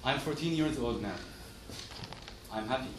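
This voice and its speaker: A man giving a prepared motivational storytelling speech in short, simple, deliberate sentences. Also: mid-range voice. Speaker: club member giving a speech. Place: meeting room, recorded from a distance. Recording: echoey room, distant mic, noisy.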